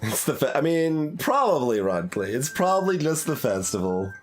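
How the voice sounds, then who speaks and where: A man giving casual, halting playthrough commentary as a game streamer, thinking aloud in an analytical, musing way. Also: medium pitch, sing-song.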